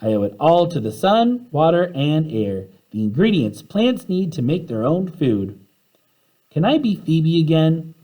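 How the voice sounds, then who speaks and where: A man's voice: fairly high pitch, big pitch swings, unhurried, warm tone, voice pushed up, low-quality recording. A man voicing an earnest, hungry little schoolgirl turned bean plant in a storybook, speaking in an exclamatory way. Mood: grateful, pleased.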